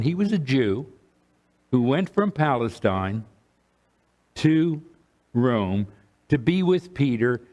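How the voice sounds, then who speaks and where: A man's voice: mid-range voice, darkish tone. Man giving a chatty sermon. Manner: informal, restarts phrases, checks in with "okay?". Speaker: bishop preaching. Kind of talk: conversational sermon.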